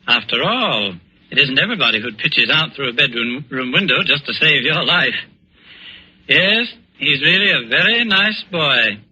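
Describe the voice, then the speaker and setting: An actor playing a composed British intelligence officer, calm and dryly ironic, in a 1940s radio suspense drama. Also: male voice, medium pitch, moderate pace, slightly rough, heavily acted. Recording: muffled old radio, faint music.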